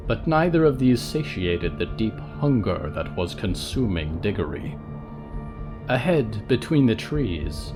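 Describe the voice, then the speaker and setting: A man reading scripted gothic horror fiction as a narrator, in a measured, dramatic storytelling manner. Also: low voice.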